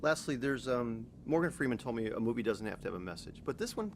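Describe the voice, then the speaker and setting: A man's voice: medium pitch, lively intonation, fast. A man interviewing a guest in a casual, upbeat way, laughing along. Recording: faint background noise.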